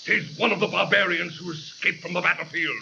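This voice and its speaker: An actor playing a harsh, commanding Roman officer who barks imperious orders in a 1930s radio drama, on an old recording. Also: male voice, sits high, steady tone, fairly quick, gravelly, sharp tone, lo-fi sound, slight background noise, heavily acted.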